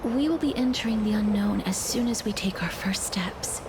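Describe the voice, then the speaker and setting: A woman voicing a composed, thoughtful traveler in a video game, speaking softly in a formal, reflective way, at times breathy. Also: mid-range voice, moderate pace, background noise, natural voice. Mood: calm, reflective. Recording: loud music under the voice.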